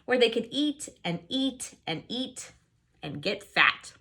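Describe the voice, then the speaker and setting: Expressive woman reading to young children. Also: a little high, takes it slowly, vocal fry. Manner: expressive, asks listeners questions and answers them. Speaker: teacher. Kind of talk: children's storybook read-aloud and lesson.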